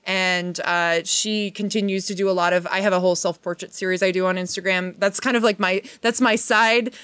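A woman in a casual chat as a guest, effusive and rambling, often halting and restarting her thoughts. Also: medium pitch, steady tone, a bit bright, clear, resonant tone, no echo. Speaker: panel guest, actress.

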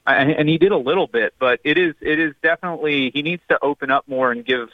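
Brief: male phone-in co-host, halting coaching tone, dull muffled line, dry. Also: fairly high pitch, dry recording. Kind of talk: casual radio banter.

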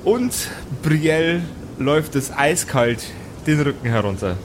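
A man narrating an improvised tabletop audio play as game master, telling the scene in deliberate, descriptive phrases. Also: fairly high pitch, animated, faint music behind.

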